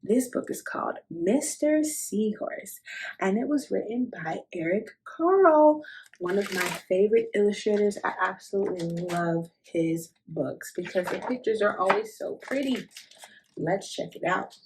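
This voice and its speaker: Animated, unhurried woman reading to children. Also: medium pitch, a little hiss or hum, low-quality recording. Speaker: children's storytime host. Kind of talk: expressive picture-book read-aloud.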